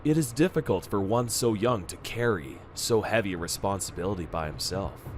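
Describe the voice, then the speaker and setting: A man's voice: fairly low pitch, normal speed, a little hiss or hum, close to an everyday voice. A man acting a solicitous, formal minder to a young prince, speaking politely in a fan radio play. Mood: solicitous, grave.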